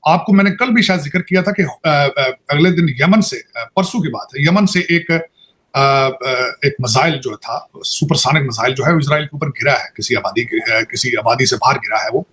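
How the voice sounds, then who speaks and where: A man giving conversational political commentary, halting at times and slipping into English. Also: mid-range voice, fairly bright tone. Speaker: guest commentator.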